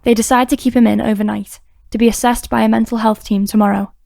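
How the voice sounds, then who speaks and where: A woman's voice: fairly high pitch, flat delivery, quick pace, gravelly, mellow, dry recording, clean studio sound. A woman reading scripted narration for an audiobook drama.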